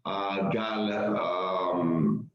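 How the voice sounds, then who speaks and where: Hesitant Israeli man, low slow voice. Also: steady tone, soft background music. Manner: hesitant, many fillers and restarts. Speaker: startup founder and CEO pitching investors. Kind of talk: investor pitch over a call. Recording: echoey, dull lo-fi audio.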